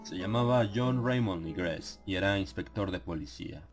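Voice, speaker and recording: Deep-voiced man narrating a horror audiobook. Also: normal speed. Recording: music behind the voice. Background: atmospheric music.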